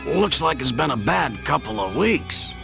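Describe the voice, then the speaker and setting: An actor playing a bossy, streetwise older-brother plumber with a gravelly voice in a radio play. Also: male voice, on the high side, very animated, moderate pace, over music, full character voice. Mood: wry, baffled. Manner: brash, wisecracking, streetwise.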